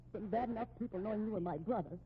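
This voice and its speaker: An actress playing an anxious, reproachful, respectable sister of a fugitive in a 1950s radio drama, agitated and scolding, on a muffled old recording. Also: female voice, medium pitch, rapid-fire, breathy edge.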